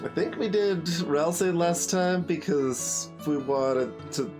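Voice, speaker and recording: A man giving casual game commentary as a streamer, halting and thinking aloud as he chats with viewers. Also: on the high side, music in the background. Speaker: livestreamer, game playthrough host.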